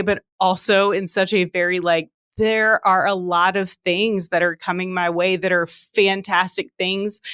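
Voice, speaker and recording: A woman's voice: on the low side, fairly bright tone, no room sound, clean studio sound. A woman in her late thirties chatting casually on a podcast, telling stories by acting out her own thoughts. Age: late 30s. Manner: chatty, self-quoting storytelling.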